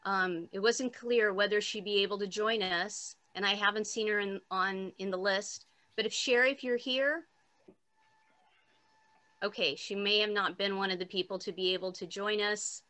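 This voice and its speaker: A woman moderating an online panel, introducing speakers with brisk, friendly remarks over a video call. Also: medium pitch, steady tone, sharp tone.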